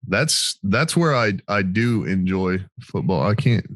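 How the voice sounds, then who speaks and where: A man's voice: on the low side, animated, a little slow, fairly bright tone, no echo. An American man hosting a veterans' podcast in casual, sweary, storytelling chat. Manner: informal, sweary. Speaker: podcast host, military veteran.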